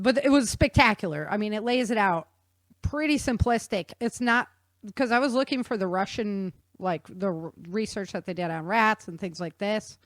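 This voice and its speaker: A woman hosts a podcast with casual, explanatory talk. She sounds like an informal nerdy enthusiast, with a mid-range pitch and a dry, close microphone sound. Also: no echo. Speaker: podcast host.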